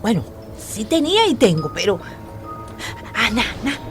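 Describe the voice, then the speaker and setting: An actress playing a gossipy, watchful enslaved cook who mutters and exclaims to herself in folksy speech in a radio drama. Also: female voice, fairly high pitch, big pitch swings, a little slow. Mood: flustered. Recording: music behind, clinking kitchen dishes.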